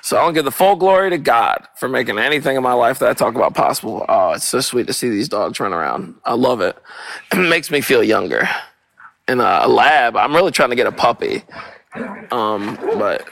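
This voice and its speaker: Solo podcast host, young man, hoarse and gravelly, rambling and cursing outdoors on a portable mic with dogs around. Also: mid-range voice, animated, moderate pace, a bit bright, dry recording. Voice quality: rough, gravelly voice. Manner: rambling, profane, spiritual asides. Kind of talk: casual solo monologue. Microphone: portable, not studio quality. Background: dogs playing.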